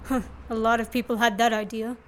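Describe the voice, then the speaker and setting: A young woman playing a gallant, commanding Canadian gentleman in a radio play. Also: a little high, little pitch movement, brisk, a little hiss or hum, close to an everyday voice. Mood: reassuring. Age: young adult.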